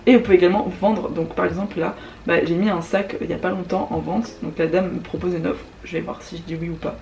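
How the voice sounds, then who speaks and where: A woman's voice: fairly low pitch, darkish tone, distant mic, slight background noise. A young French woman chatting casually in a vlog, demonstrating apps, full of fillers, slang and asides. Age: young adult. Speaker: YouTuber recommending apps. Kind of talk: casual vlog chat with screen demo.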